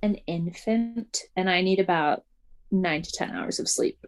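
A woman co-hosting a podcast in loose, rambling chat, her words tumbling out quickly. Her voice sits a little low, and she fills her sentences with "like" and breaks into laughter. She sounds relaxed and conversational. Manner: fast, chatty, laughs often, lots of "like". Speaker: podcast co-host. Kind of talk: casual chat.

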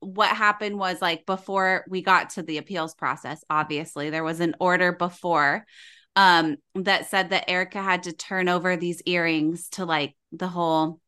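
A woman's voice: on the low side, steady tone, moderate pace, bright, forceful tone, smooth. A woman hosting a podcast, chatting casually and bubbly, laughing often and talking off the cuff. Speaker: podcast host.

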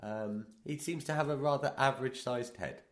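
A man with a cold co-hosting a podcast, joking, teasing and reading aloud haltingly. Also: medium pitch, roomy sound. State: has a cold. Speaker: podcast co-host. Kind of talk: casual banter.

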